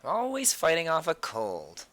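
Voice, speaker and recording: A man live-streaming his sketching, mumbling his thoughts aloud as he draws, a little hoarse from a cold. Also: fairly high pitch, huge pitch swings, normal speed, a bit bright. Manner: mumbled thinking aloud, trailing off. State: slightly hoarse, losing his voice. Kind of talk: casual talk while drawing.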